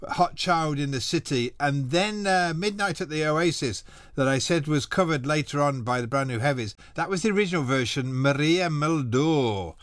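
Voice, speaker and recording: A man's voice: fairly high pitch, normal speed, fairly bright tone, no room sound. A man presenting a radio music show, chatting casually and rambling between songs with frequent laughs. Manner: chatty, rambling, self-deprecating, laughs often. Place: small radio studio. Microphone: studio microphone.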